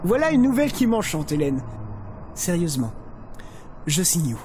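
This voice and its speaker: A man's voice: sits high, sing-song, normal speed, noisy background. A man playing a smug, menacing novelist in an audio drama, speaking formally and condescendingly. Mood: self-satisfied. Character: smug, menacing male novelist blackmailing his editor. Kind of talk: French audio drama acting.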